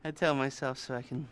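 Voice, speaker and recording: A man playing a nerdy, sardonic heist mastermind in a video game cutscene, talking in a halting, rambling way with nervous chuckles. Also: medium pitch, a bit bright, clean tone, lightly acted. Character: nerdy, twitchy, sardonic male heist mastermind briefing crew.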